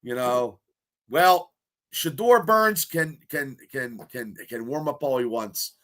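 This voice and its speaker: A man co-hosting a sports podcast, talking in excitable, rambling bursts full of restarts, with a stuffy nose. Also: medium pitch, slow. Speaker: podcast co-host. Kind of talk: casual sports podcast chat.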